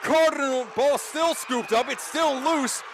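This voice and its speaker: American male football play-by-play announcer, high voice, dramatic and emphatic, stadium noise clearly behind him. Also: measured pace, a crowd in the background. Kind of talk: live American football radio play-by-play commentary. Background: outdoor stadium ambience.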